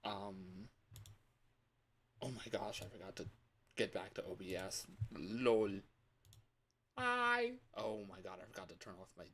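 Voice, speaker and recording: Male streamer, lowish voice, unhurried pace, excitable and playful casual chat, exclaims often, external mic with noticeable background noise. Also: gravelly. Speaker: livestreamer. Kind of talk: casual livestream chat to viewers. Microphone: external microphone.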